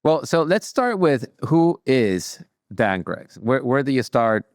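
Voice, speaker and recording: A man's voice: medium pitch, very animated, slow, no room sound, studio quality. A man hosting a podcast, talking in an enthusiastic, emphatic way as he introduces and interviews. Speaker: podcast host.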